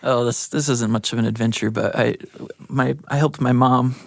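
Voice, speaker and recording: Gravelly, easygoing American man. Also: medium pitch, fairly even intonation, normal speed, no room sound, studio quality. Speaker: audio engineer guest. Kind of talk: casual chat.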